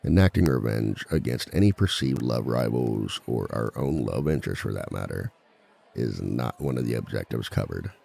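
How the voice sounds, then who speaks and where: A man plays a villain seminar instructor with an extremely low, heavily creaky male voice. He is composed and dryly authoritative, polite as he addresses a class in an ASMR roleplay. The tone is dark and mellow. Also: animated, normal speed, heavily acted. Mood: patient, dry. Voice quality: very deep, gravelly. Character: composed, dry-witted male villain teaching a relationship seminar. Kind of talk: scripted ASMR roleplay monologue.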